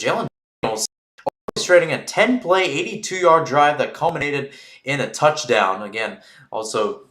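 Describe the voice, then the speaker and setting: A man giving live play-by-play football commentary in a halting way, often repeating his phrases. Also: mid-range voice, a bit bright. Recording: roomy, echoing sound.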